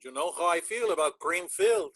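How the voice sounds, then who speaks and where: A man's voice: high-pitched, animated, brisk, fairly bright tone. A man playing a fussy, comically petulant band member in a screenplay table read.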